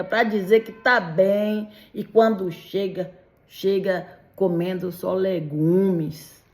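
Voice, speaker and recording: Slow-talking, low-pitched middle-aged woman. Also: creaky. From Belgium. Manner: emphatic, admonishing, with frequent tag questions. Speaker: vlogger giving warnings to Brazilian migrants. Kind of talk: direct-to-audience opinion monologue.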